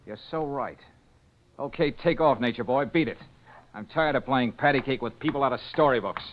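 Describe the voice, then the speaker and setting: An actor playing a tough, confident, sardonic private detective in an old-time radio drama, slangy and commanding, on a muffled recording. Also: male voice, mid-range voice, moderate pace, slight background noise, close to an everyday voice. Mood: tough, mocking.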